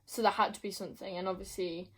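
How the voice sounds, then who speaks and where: Young female book vlogger, mid-pitched voice, casual and emphatic, given to gushing repetition. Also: level tone, fast talker, fairly bright tone, breathy edge. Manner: gushing, emphatic, repeats herself. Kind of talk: casual chat to camera.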